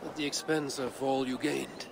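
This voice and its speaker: An actor playing a weary, resolute Viking warrior in a game, gravelly, with terse, formal and solemn delivery. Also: male voice, medium pitch, level tone, moderate pace, background noise, natural voice. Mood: wry surprise. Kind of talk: video game cutscene acting.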